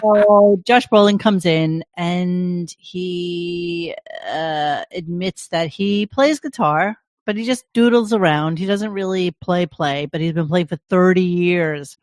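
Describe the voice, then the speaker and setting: A man's voice: high voice, slow pace, no room sound, studio quality. A man hosting a podcast that recaps a radio show, telling the story in a crude, meandering, casual way. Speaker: podcast host. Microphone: headset or desk mic on a live stream.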